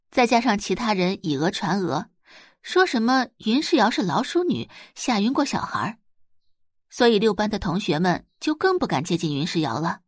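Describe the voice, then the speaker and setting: A woman's voice: medium pitch, husky, dry recording, studio quality. A woman narrating a scripted audiobook story as its storyteller.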